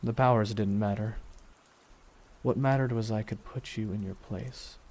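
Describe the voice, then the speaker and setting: A man playing a grieving, vengeful supervillain in an ASMR roleplay monologue, weary and softly menacing, brooding with deliberate pauses. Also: on the low side. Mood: bitter, accusing. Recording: steady noise under voice, rain ambience.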